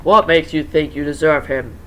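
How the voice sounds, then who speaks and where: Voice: mid-range voice, moderate pace, pushed and bright, natural voice. A performer voicing a bossy, flirtatious female animatronic chicken in a fan fiction reading. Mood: smug. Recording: noisy amateur home recording.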